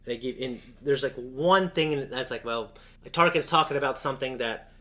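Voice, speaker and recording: A man's voice: mid-range voice, normal speed, pushed and bright. A man co-hosting a casual fan discussion, talking in a rambling, enthusiastic way. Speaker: co-host of a reaction show.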